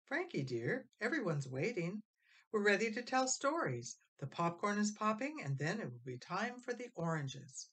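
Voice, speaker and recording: A woman voicing a gentle, forgiving mother in a storybook, tender and soothing, at times nearly whispering. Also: mid-range voice, big pitch swings, normal speed, pitched above the performer's own voice. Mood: coaxing.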